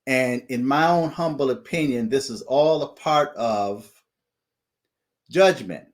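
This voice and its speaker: Slow, deliberate man preaching a warning. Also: mid-range voice. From United States. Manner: deliberate, repeats "okay?" as a check-in. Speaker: channel host sharing dreams and visions.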